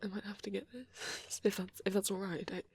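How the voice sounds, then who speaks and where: A man doing a soft, often whispered ASMR roleplay as a shy, gentle young vampire boyfriend, rambling hesitantly in a breathy, husky voice. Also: high-pitched, fairly even intonation, fairly quick, no echo.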